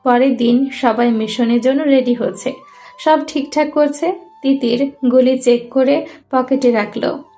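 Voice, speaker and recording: A woman's voice: high-pitched, steady tone, unhurried, warm tone, soft background music. A woman reading scripted story narration as the narrator.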